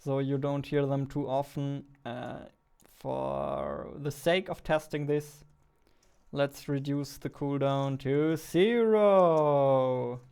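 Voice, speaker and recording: Man in his twenties, unhurried, medium pitch, casual game developer vlog, faint music. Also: creaky, darkish tone, smooth. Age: 20s. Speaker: indie game developer, vlogger. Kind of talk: casual thinking-aloud dev vlog. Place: small indoor room at a computer. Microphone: headset.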